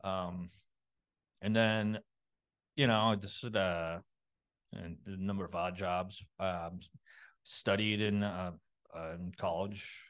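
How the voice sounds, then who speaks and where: A man from a Los Angeles suburb, likely in his thirties, talking as a podcast guest in a halting, rambling way full of fillers and restarts. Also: low voice, fairly even intonation, fairly bright tone, clean tone. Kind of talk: casual interview talk.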